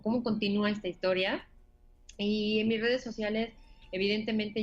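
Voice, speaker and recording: A woman from Spain with a Mexican accent, an actress guest in a radio interview, speaking emotionally and hesitantly with many fillers. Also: mid-range voice. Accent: Mexican Spanish. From Spain (Granada). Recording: muffled lo-fi recording.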